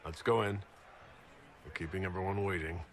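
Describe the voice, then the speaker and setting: An actor playing a confident, unintimidated heroic leader in a fantasy game, speaking plainly and directly. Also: male voice, deep voice, fairly even intonation, normal speed, a little hiss or hum.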